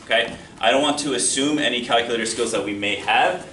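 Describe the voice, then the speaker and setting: A man's voice: mid-range voice, brisk. A young man teaching a math lesson to a class in a friendly, encouraging, self-deprecating way. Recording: echoey classroom from far off, noisy.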